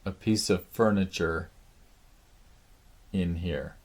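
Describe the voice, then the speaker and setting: A man teaching a drawing lesson, thinking aloud slowly with many pauses, his voice raspy. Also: low voice, darkish tone. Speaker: art teacher. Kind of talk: drawing lesson, talking while sketching. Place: art studio.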